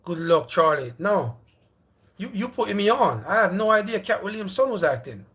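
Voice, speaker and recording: A man's voice: sits high, sing-song, moderate pace, fairly bright tone. A man from Jamaica, in his thirties, rambling casually at home as he hosts a livestream and calls his viewers brother. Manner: loose, rambling, repeats himself, calls out to viewers as brother and bro. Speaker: livestream host.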